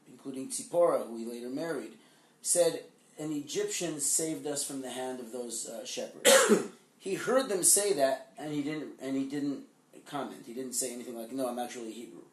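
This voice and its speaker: A man's voice: mid-range voice, moderate pace. A man co-hosting a casual talk show, explaining Bible stories in a storytelling way with frequent restarts. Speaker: podcast co-host, Torah teacher. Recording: echoey room, distant mic.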